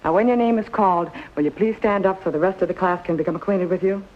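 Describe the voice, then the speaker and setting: A muffled old TV soundtrack carries an actress playing a woman schoolteacher. She is formal and courteous, addressing pupils in measured sentences in the manner of fifties drama. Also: lowish voice, lightly acted. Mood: formal, patient. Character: polite, formal schoolteacher addressing her class. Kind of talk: 1950s American television drama acting. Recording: dull lo-fi 1950s television soundtrack.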